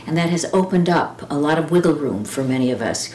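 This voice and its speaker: A woman reading poems and quotations aloud with informal commentary, speaking in a reflective, deliberate way with pauses and drawn-out words. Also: deep voice, expressive, a little mellow. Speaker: poetry reader. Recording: roomy echo with clear background noise.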